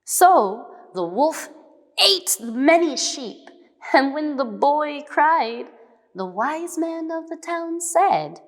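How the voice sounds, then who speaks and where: A woman reading scripted fables as a storybook narrator, expressive, dramatic and deliberate. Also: sits high. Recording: long hall reverb.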